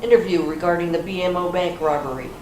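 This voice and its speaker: A woman's voice: fairly low pitch, quick pace, close to an everyday voice. Woman acting a formal police interrogator, echoey room. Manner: formal, clipped, procedural. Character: brisk, skeptical female police interrogator. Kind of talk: amateur readers theater reading. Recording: echoey hall, distant mic, faint noise.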